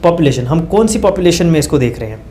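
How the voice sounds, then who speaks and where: A man's voice: a little high, animated, quick pace, warm tone, a little hiss or hum. A male doctor explaining research methods as a guest, walking through numbered points with everyday examples and hesitant fillers. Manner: hesitant fillers, mixes English phrases.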